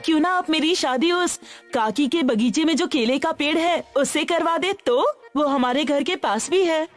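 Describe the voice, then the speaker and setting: A bewildered young village maiden, played by a woman, speaks in a high voice with a questioning, dramatic manner. It is a cartoon storybook performance over faint music. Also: moderate pace, natural voice. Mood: hopeful. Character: bewildered, plain-spoken young village bride-to-be.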